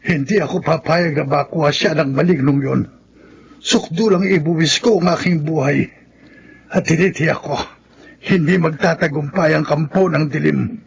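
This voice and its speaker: A gravelly, fairly high-voiced old grandfather, stubborn and impassioned, with a Filipino accent. He declaims in radio horror drama style over clear background noise. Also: normal speed, muffled recording. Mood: determined, impassioned. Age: older. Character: desperate, stubborn old grandfather ready to sacrifice himself.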